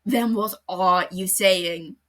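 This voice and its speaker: A woman voicing an angry, blunt adult male detective in a podfic, with loud, scornful delivery. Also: lowish voice, slow. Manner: furious, scornful, shouting. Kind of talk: podfic character reading.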